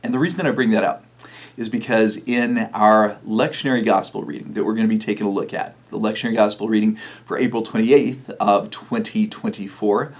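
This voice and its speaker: Middle-aged male pastor with a low voice, relaxed storytelling with fillers, for a video sermon. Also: brisk. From New Mexico, USA.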